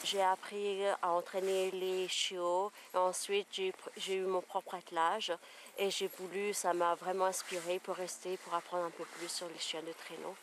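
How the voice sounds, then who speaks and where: A woman's voice: on the low side, little pitch movement, moderate pace. A middle-aged woman from France with a French accent, chatting easygoingly in a documentary interview with many hesitations. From France, Vosges. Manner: easygoing, hesitant with frequent fillers. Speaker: interviewee, sled dog musher. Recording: noticeable background noise.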